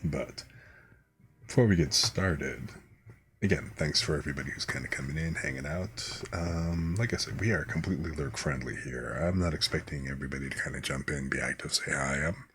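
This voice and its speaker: A hoarse man hosting a live stream, chatting in a relaxed, rambling, soft-spoken way. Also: a bass voice, fairly quick, a little hiss or hum. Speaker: streamer host. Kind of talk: laid-back stream chat.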